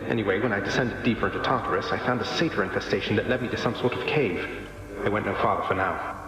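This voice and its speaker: An actor playing a young underworld prince in a video game, a wry, casual and rebellious brother who speaks in dry understatement, with music behind him. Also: male voice, fairly low pitch, fast, background noise, close to an everyday voice. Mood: wry, confident. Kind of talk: video game character dialogue. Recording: very echoey with long reverb, music behind.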